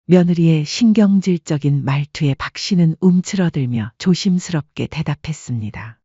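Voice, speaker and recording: A woman narrating a scripted story with a soft, husky voice. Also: sits low, lively intonation, normal speed, no room sound, muffled recording.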